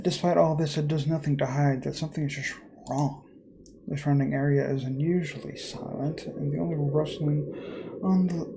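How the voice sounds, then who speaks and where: A man reading a first-person horror story aloud as a let's player, in a measured, theatrical way. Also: fairly high pitch, creaky, background noise.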